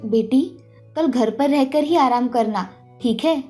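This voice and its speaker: A woman playing a caring, gentle village mother in an animated moral story, tender and reassuring. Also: high voice, slow pace. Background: faint background music.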